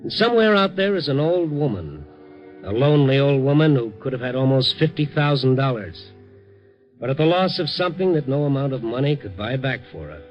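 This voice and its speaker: A man's voice: medium pitch, sing-song, a little fast, highs cut off, lightly acted. Night-shift newsman for radio drama played by a male actor: mid-range, weary, humane, music-backed vintage recording. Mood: somber, reflective. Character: world-weary, compassionate newsman narrating his night beat. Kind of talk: old time radio drama acting.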